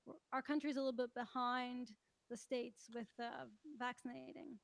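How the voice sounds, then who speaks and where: Young girl musician, high voice, casual interview chat, really dry sound. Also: level tone, normal speed, creaky, no echo. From Toronto, Canada. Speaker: interview guest, country musician.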